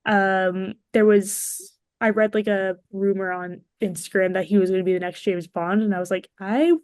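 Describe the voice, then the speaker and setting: A woman in casual conversation as a podcast panelist, discussing entertainment in a relaxed chat style. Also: mid-range voice, normal speed, dry recording, studio quality.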